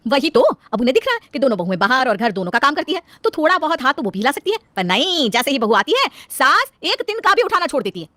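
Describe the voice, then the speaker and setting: Grumbling, animated daughter-in-law acted by a woman. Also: high voice, quick pace, few pauses, no echo, voice pushed up, exaggerated voice. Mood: exasperated. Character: exasperated, ambitious elder daughter-in-law with an office job.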